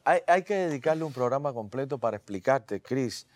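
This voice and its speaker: A man hosting a casual interview show, speaking conversationally with halting, hesitant phrasing and repeated words. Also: medium pitch, lively intonation.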